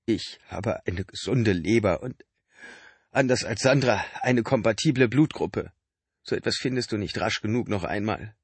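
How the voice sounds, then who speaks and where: A man's voice: lowish voice, moderate pace, slightly rough, dry recording, voice pushed up. A man voicing an exhausted adult man who pleads urgently and breathlessly with his brother. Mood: urgent pleading.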